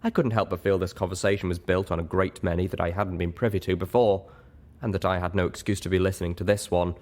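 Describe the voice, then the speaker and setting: A man's voice: low-pitched, steady tone, fast talker, a little mellow. A man narrating a first-person story expressively, as an audiobook reader.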